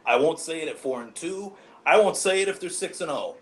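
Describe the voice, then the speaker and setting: A man's voice: a little high, animated, fairly quick. A man talking as a guest on a casual sports podcast, cocky and playful, with faint noise behind him.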